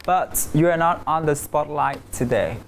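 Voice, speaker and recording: A co-host from England, hosting a talk show with casual, brief questions. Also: male voice, fairly high pitch, a little slow, gravelly, heavy background noise.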